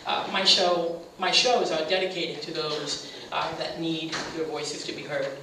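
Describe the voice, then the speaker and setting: A woman who hosts a radio show gives an earnest, heartfelt speech at a community center, halting on fillers and then swelling with emphasis on key phrases. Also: deep voice, steady tone, sharp tone. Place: meeting room at a community center. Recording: very echoey room from a distant mic, faint hiss.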